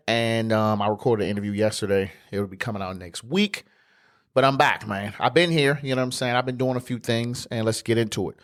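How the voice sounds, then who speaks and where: A man, hosting a casual solo sports podcast in his home studio, stuffy and congested, talking in a relaxed, slangy way. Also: lowish voice. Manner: relaxed, conversational, loose slang. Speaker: podcast host.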